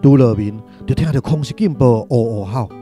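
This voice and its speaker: A man's voice: medium pitch, moderate pace, music in the background, close to an everyday voice. A man with a Taiwanese accent narrating a literary radio drama from a script.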